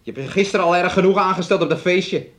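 Domineering young fiancé, higher-pitched man. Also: quick pace, a bit bright. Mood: angry. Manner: speaks of himself and her in third person, taunting. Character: domineering, needling young fiancé, resentful and moody. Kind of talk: radio play acting.

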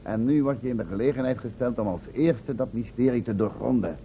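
An actor playing a professor's wry, coaxing assistant in a radio play, persuasive, teasing and somewhat formal. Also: male voice, medium pitch, brisk, lightly acted. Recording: muffled, phone-like old radio recording, faint noise.

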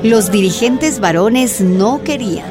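Radio drama narrator over music. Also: female voice, on the high side, expressive, takes it slowly. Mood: narrative. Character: female storyteller recounting events plainly. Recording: music bed behind narration.

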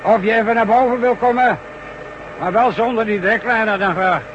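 A man's voice: high-pitched, moderate pace, natural voice. A man acting a worried, matter-of-fact construction boss in a science fiction radio drama. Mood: calling out, impatient. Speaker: actor in a radio play. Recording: dull, noisy old radio recording.

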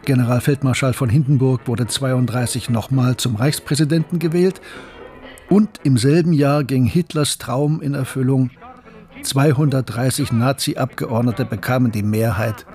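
A man's voice: mid-range voice, steady tone, normal speed, a little mellow, natural voice. An actor playing a German academic who tells his own story in a radio drama. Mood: reflective, grave. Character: German academic named Gustav, reflective narrator, hot-headed in argument. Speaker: narrator and character in radio drama. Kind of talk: dramatic first-person narration. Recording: music behind the voice.

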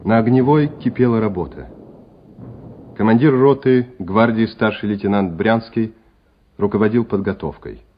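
A man's voice: fairly low pitch, moderate pace, breathy edge. A man reading scripted dramatic narration as the narrator of a radio play. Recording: muffled old radio recording. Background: music.

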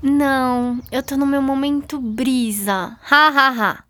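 A woman's voice: high voice, steady tone, takes it slowly. An actress playing a curious, friendly and teasing young schoolgirl in a Brazilian radio drama. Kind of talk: scripted radio drama acting.

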